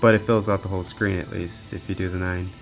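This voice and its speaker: A man's voice: sits low, level tone, fast, creaky, faint music behind. A man giving casual, off-the-cuff commentary as he tests gaming gear, muttering to himself as he works. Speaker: gaming handheld reviewer.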